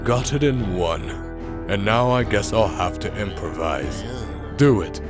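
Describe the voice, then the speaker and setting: Man as slow, scornful villain scientist. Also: on the low side, lively intonation. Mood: smug. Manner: deliberate, scornful. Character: cold, scheming villainous scientist, smug and ruthless. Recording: music behind.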